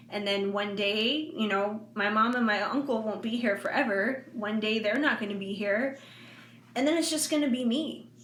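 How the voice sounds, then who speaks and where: A forty-year-old woman with a bright, fairly high voice speaks candidly to her viewers, rambling along with plenty of fillers. The sound is roomy and echoey, with a faint hiss underneath. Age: 40s. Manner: candid, rambling, frequent fillers and soft laughs. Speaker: intuitive reader and vlogger. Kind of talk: casual heartfelt monologue to viewers. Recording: echoey room with faint background noise.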